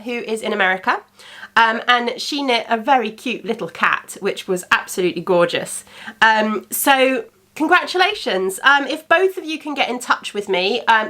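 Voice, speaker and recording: A woman in her thirties talks casually as host of her own podcast, rambling with frequent ums. She sounds a little congested from a recent cold. Her voice is bright and sits slightly high. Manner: chatty and rambling, many ums. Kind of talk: casual chat.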